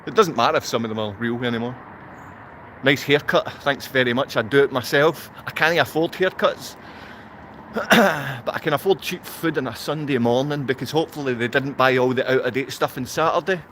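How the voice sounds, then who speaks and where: A man's voice: mid-range voice, moderate pace, fairly bright tone, slight background noise. A man from Glasgow with a Glaswegian Scottish accent, talking unscripted to livestream viewers in a rambling, repetitive way full of rhetorical questions. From Glasgow, Scotland.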